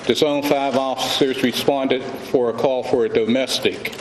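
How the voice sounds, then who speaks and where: A man with an American accent gives a formal briefing as a police chief. His mid-pitched delivery is solemn and deliberate. The recording is echoey, with clear background noise. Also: fairly even intonation. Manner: grave, deliberate. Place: press conference room. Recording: echoey room, clearly noisy audio.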